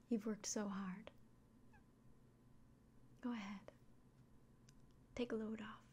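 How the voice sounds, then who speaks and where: A woman playing a kindly, caring innkeeper in an ASMR fantasy roleplay, whispering gently and reassuringly. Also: medium pitch, fairly even intonation, extremely slow, pauses often. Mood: soothing.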